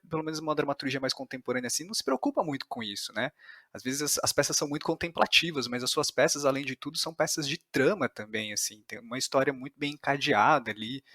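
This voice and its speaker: A man's voice: medium pitch, fairly bright tone, no room sound, studio quality. A Brazilian man chatting casually as the interviewer on a podcast.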